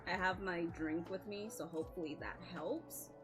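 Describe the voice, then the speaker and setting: A woman's voice: fairly low pitch, moderate pace, over music. A woman streaming live with a scratchy voice, chatting casually, apologizing often, swearing and laughing a lot.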